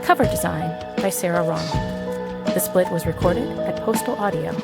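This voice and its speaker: A woman announcer reading scripted credits for an audio production. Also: fairly low pitch. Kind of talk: scripted narration. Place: studio. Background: loud music.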